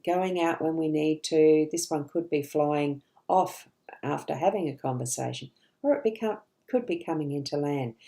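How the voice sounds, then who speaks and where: A middle-aged woman with a rough morning voice, chatting casually as she hosts a live card-reading stream and greets viewers. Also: sits low, warm tone. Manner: chatty, greets viewers by name, frequent ums and restarts. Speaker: psychic card reader hosting a live stream.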